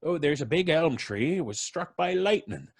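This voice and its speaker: A man's voice: on the high side, lively intonation, normal speed, creaky, breathy edge, heavily acted. A man voicing a rambling, superstitious old country man in old time radio drama style, folksy and garrulous with muttered hesitations. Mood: folksy, reminiscing. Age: older.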